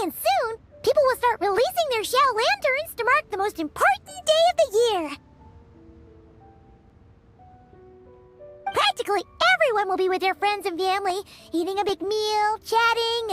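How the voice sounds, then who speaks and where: A tiny childlike fairy sidekick from a video game. The voice is extremely high and swoops around with bubbly, eager excitement, with prominent music behind it. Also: female voice, normal speed, exaggerated voice. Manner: speaks of self in third person, bubbly.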